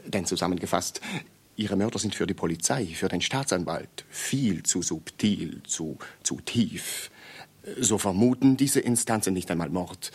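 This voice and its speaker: Husky, low-voiced, earnest amateur detective. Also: male voice, lively intonation, darkish tone, exaggerated voice. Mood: admiring, intense. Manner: halting, repeats words, ornate and reverential phrasing. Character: deferential, long-winded amateur detective, pedantic and earnest. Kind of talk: German radio play acting.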